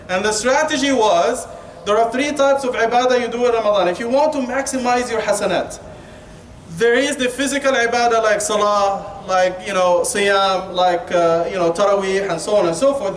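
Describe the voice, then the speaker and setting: High-pitched Egyptian preacher in an echoey room. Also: male voice, brisk. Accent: Egyptian Arabic. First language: Arabic. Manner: informal, colloquial, rhetorical questions, frequent "you know". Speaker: Islamic preacher giving a Ramadan talk. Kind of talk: conversational sermon with humour. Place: indoor Islamic centre hall. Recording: echoey room, distant mic, faint noise.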